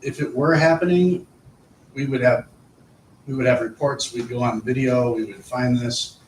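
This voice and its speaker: Man, school administrator, halting explanations with repeated phrases, roomy echo, far from the mic. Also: mid-range voice, steady tone. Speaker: school administrator reporting to a board. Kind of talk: informal discussion at a public meeting. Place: indoor meeting room. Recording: echoey room, distant mic, faint noise.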